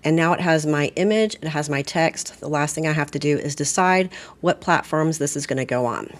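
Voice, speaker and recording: Female staff trainer, low register, talks through an on-screen walkthrough in plain, conversational, instructive style. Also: fairly quick. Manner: conversational, explanatory. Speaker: school administrator training staff. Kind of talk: informal software tutorial, step-by-step screen walkthrough.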